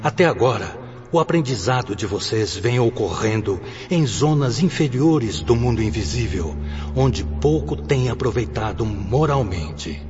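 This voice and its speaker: A man's voice: medium pitch, expressive, close to an everyday voice. Solemn male spirit instructor, acted by a man, in reverberant hall. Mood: stern, instructive. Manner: grave, oratorical, measured didactic delivery. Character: Sóstenes, solemn male spirit instructor addressing penitent souls. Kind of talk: radio drama acting. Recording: dull reverberant recording with music.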